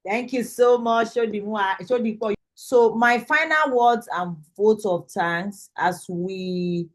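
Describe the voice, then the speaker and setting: A woman with a Nigerian accent informally hosting an online event as its instructor on a video call. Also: medium pitch. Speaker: host and lead instructor of an online graduation. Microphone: video call microphone.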